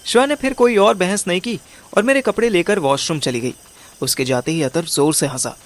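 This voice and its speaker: A man's voice: high voice, fairly quick, lightly acted. A man narrating and playing a scheming, overweight amateur detective in an audio drama, with a wry, colloquial manner. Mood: sardonic. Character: overweight, scheming male amateur detective telling his story. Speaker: narrator and lead character.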